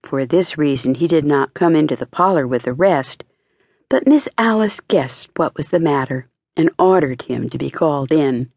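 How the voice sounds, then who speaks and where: A woman reading a children's fairy tale as an expressive audiobook narrator. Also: sits low, normal speed, no echo. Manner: expressive storytelling.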